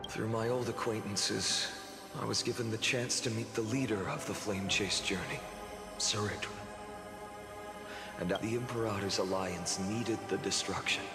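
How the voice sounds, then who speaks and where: A man voicing a weary, sorrowful, quietly wrathful hero in a dramatic video game monologue, solemn and deliberate. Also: fairly low pitch. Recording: echoey long reverb, music underneath. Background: dramatic game music.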